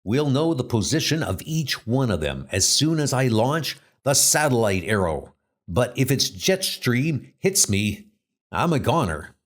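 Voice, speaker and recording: A man playing an earnest, grimly determined archer superhero in a comic book dub, with deliberate, dramatic delivery. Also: medium pitch, very animated. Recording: loud music under the voice.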